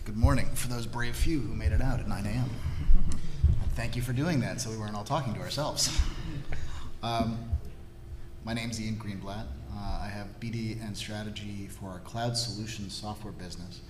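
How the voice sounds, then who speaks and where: Male tech exec panelist, low-ish voice, confident explainer, echoey hall with background hum. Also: steady tone, normal speed. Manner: confident, explanatory, fluent pitch. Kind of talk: conference panel introduction. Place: conference hall. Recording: echoey room with clear hum.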